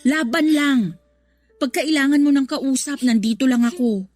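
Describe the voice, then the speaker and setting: A woman's voice: sits high, moderate pace, a little mellow, no echo, soft background music, natural voice. An actress in a radio drama playing a supportive female best friend who reassures and encourages. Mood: encouraging. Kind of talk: Tagalog radio drama acting.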